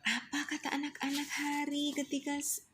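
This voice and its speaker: A woman's voice: high-pitched, monotone, normal speed, fairly bright tone, slight background noise. A young woman at home, reading a storybook aloud to her child, often whispering and asking the child questions.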